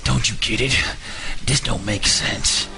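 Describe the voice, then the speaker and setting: A man's voice: medium pitch, steady tone, slow pace, voice pushed up. A man playing a frightened prisoner in an audio drama, speaking with desperate urgency. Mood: desperate, panicked. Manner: urgent, breathy half-whisper rising to shouts. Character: terrified, desperate male prisoner on work detail. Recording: music bed under the voice.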